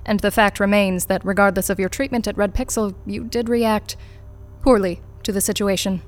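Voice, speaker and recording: An actress playing a cool, unflappable corporate interrogator in an audio drama, formal, clipped and detached. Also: female voice, mid-range voice, steady tone, normal speed, soft background music, lightly acted. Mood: cool, matter-of-fact. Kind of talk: naturalistic audio drama acting.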